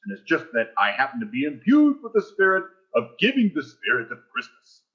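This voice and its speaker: A man's voice: mid-range voice, huge pitch swings, a little fast. A pompous school principal acted by a man in an old-time radio sitcom. His delivery is florid and slyly wheedling, swinging up and down with great animation. The sound is slightly muffled and dull. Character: pompous, wheedling, greedy male school principal, falsely magnanimous. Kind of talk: 1940s radio sitcom acting.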